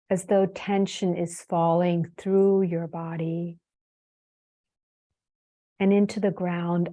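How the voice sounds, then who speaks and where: A woman leading a guided meditation as a yoga teacher, soothing and unhurried, leaving long pauses between phrases. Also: fairly low pitch, dark tone.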